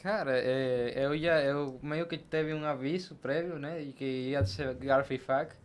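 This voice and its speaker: A man's voice: mid-range voice, steady tone, a little slow. A man with a Venezuelan accent, a native Spanish speaker, answering conversationally as a podcast guest. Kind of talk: conversational interview answers, personal anecdotes. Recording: clear background noise.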